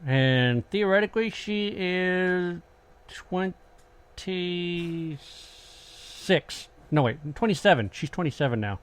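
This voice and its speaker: A male podcast host in his late forties with a fairly high-pitched voice for a man. He talks casually, like someone swapping stories with a friend. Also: lively intonation, slow pace, clean tone. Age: late 40s. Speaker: podcast host, paranormal investigator. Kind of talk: casual conversational storytelling.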